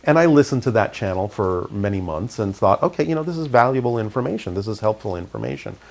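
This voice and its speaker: A middle-aged man, raised in California, calmly recounting his experiences as an interview guest. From Canada, raised in California. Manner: calm, measured, low-key delivery. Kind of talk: reflective interview storytelling.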